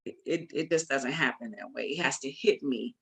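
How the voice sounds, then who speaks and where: A woman's voice: rumbling low, normal speed, creaky. A woman giving conversational interview answers as a guest, speaking emphatically, repeating phrases and acting out her own thoughts. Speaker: guest, playwright.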